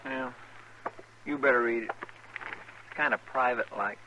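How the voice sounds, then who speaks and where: An actor playing an earnest, naive cowboy sidekick with a rural western American drawl and a folksy, deferential manner in a radio drama. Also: male voice, mid-range voice, a little slow, pushed and bright. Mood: hesitant curiosity. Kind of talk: 1950s western radio acting. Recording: noisy, muffled old radio broadcast.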